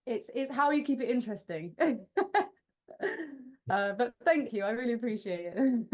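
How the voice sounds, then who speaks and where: A woman's voice: a little high, animated, a little slow. Chatty young woman singer, laughing often. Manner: chatty, self-deprecating, with laughs and restarts. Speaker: rock band frontwoman and singer. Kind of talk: casual interview answers.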